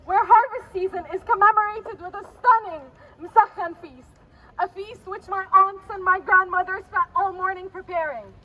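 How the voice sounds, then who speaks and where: Impassioned, very high-pitched woman speaker. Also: muffled recording. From Palestinian diaspora. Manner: impassioned, declamatory, repeating phrases. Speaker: protest speaker, poet. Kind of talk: spoken-word poem delivered as a public speech. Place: outdoors at a city street protest. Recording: noisy outdoor street with traffic ambience.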